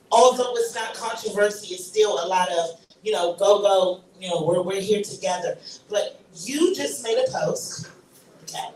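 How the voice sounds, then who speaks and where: A woman's voice: mid-range voice, echoey room, recorded from a distance, slight background noise, low-quality recording. A woman with a Washington, D.C. accent, interviewing a guest with probing, challenging questions. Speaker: interviewer, show host. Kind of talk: interview in casual discussion.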